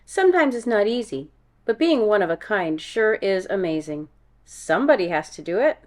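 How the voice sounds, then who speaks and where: A woman narrates a children's picture book. Her delivery is animated and deliberate, with the expression of a storyteller reading to young listeners. Her pitch sits in a middle range for a woman. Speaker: read-aloud storyteller, music teacher.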